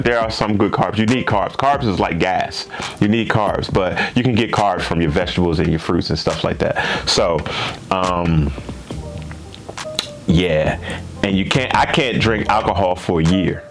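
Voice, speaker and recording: A man's voice: low-pitched, unhurried, faint music behind. A man in his fifties chatting casually to his viewers, repeating himself and tagging lines with questions. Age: 50s. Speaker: gaming channel host. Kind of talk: casual chat to camera.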